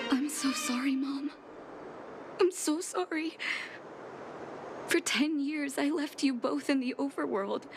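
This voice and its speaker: An actress playing a devoted young woman doctor, gentle and heartfelt in manner. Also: sits high, takes it slowly, pauses often. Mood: emotional. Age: young adult. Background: game music.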